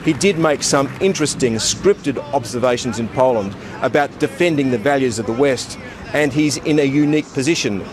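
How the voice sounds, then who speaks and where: Grave, measured male political commentator. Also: medium pitch, background noise. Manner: grave, deliberate critical analysis. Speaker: political commentator in a radio clip.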